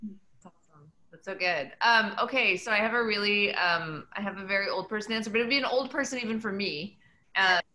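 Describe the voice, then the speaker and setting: A woman's voice: medium pitch, fairly quick, pushed and bright. A middle-aged woman from New Mexico with an American accent, talking casually as a guest author. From New Mexico, United States. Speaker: author, guest at a book talk. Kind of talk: casual chat. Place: indoor room, on a video call. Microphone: computer mic on a video call. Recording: echoey room on video call.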